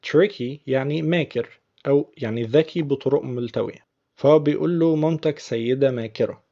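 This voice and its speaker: Brief: Egyptian male teacher, mellow dark voice, dull lo-fi recording. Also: mid-range voice, gravelly. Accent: Egyptian Arabic. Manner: patient, repeats phrases slowly before explaining. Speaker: English teacher. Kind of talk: explaining film lines in a language lesson.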